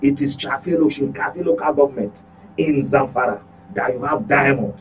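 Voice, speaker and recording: A man's voice: mid-range voice, normal speed, slight background noise, rough recording. A Nigerian man speaking as a guest commentator in a political discussion, emphatic and repeating phrases for stress.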